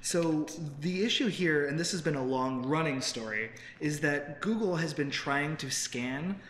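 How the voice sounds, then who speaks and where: A man's voice: fairly high pitch, unhurried, a bit bright. A man in his twenties co-hosting a tech news show, explaining things informally and rephrasing as he goes. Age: 20s. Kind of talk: casual explanatory chat about tech news. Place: open-plan office, indoors on a couch. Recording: roomy, echoey office, faint background noise.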